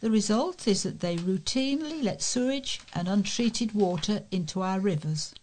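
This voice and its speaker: A woman's voice: mid-range voice, expressive, moderate pace, a little mellow, slight background noise. A British woman reading local news aloud as a volunteer newsreader.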